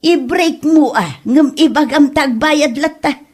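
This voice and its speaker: A woman's voice: sits high, slow, darkish tone. A woman with an Ilocano Filipino accent playing a stern, disapproving mother in a comedy audio drama. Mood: scolding.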